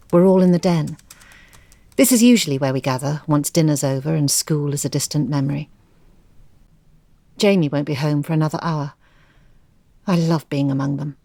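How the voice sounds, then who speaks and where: Audio drama role: weary, earnest married mother, deep for a woman, plaintive and thoughtful, dry studio sound. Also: darkish tone, no room sound. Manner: reflective, plaintive, articulate. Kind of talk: audio drama acting, confessional monologue.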